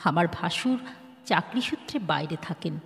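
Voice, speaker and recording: A woman playing a despairing, proud and defensive married woman in a Bengali audio drama, with a Bengali accent and a wounded, halting, dramatic manner. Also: lowish voice, animated, vocal fry. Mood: sad, subdued. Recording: reverberant hall-like echo.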